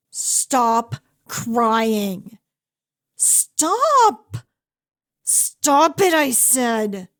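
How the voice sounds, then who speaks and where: A woman playing a fierce, grieving huntress in a fantasy ASMR audio roleplay, speaking deliberately with halting, emotional pauses. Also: mid-range voice. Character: fierce grieving huntress interrogating a captured werewolf.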